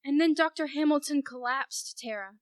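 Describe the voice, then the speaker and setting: A woman playing a brisk, wry, inquisitive newspaperwoman in an amateur radio play. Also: high-pitched, dry recording, clean studio sound, soft background music, lightly acted. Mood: wry, suspicious.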